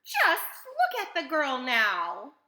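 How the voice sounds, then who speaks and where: A woman reading a children's story, voicing a hysterical mother with shrill, melodramatic screaming. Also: huge pitch swings, slow, pushed and bright, heavily acted. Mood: panicked. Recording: roomy, echoing sound.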